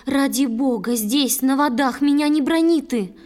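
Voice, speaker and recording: A woman playing a gentle, loving young water-spirit wife who pleads tenderly in drawn-out theatrical verse for a children's audio drama. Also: sits high. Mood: tender pleading. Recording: studio drama over soft music.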